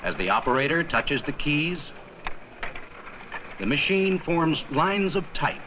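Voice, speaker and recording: A man reading scripted 1950s educational film narration in a measured, explanatory manner with simple, plain sentences. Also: fairly high pitch, very animated, muffled recording. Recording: worn, noisy 1950s 16mm film soundtrack.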